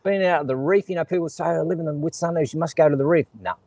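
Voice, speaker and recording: A man's voice: fairly high pitch, racing, background noise. A man with an Australian accent hosting a casual conversation, joking, laughing often and reading out viewer comments. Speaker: host. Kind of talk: casual chat.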